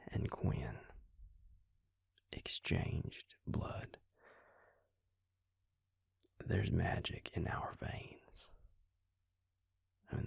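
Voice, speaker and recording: A man playing a patient, grave vampire in an intimate audio roleplay, speaking husky, breathy and low, with hesitant, deliberate pauses. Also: no echo, studio quality, exaggerated voice. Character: male vampire, patient, grave, quietly explaining lore.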